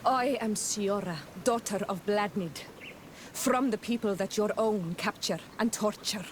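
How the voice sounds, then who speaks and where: A woman's voice: mid-range voice, unhurried, noisy background, lightly acted. A woman playing a proud, fiercely protective young native clanswoman in a video game, blunt and accusing, with solemn diction. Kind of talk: video game cutscene acting.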